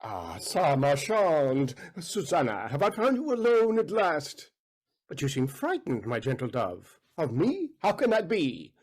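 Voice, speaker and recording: Man as a husky, lecherous aristocratic count. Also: fairly high pitch, very animated, a little slow, full character voice. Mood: amorous. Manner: pompous, wheedling. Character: lecherous, smooth-talking aristocratic count, pompous and wheedling. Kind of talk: theatrical period-comedy stage acting.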